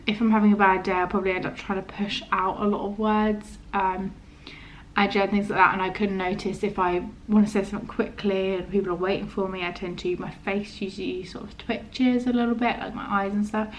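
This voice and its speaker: A young woman with a stammer, chatting casually to camera in a halting, hesitant way, often trailing off. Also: mid-range voice, a little hiss or hum, rough recording. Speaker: vlogger.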